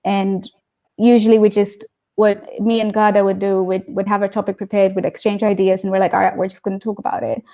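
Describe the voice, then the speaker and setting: A woman guest in a casual video-call chat, talking quickly and breaking into laughs as she jokes. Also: medium pitch, flat delivery, dark tone, dull audio. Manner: chatty, joking, quick to laugh.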